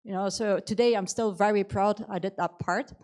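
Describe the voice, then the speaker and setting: A woman's voice: medium pitch, fairly even intonation, normal speed, a little mellow. A middle-aged woman speaking English with a Belgian accent and a slight New Zealand tinge, giving a conversational career talk full of "you know". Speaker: conference speaker. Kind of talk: motivational talk about her career.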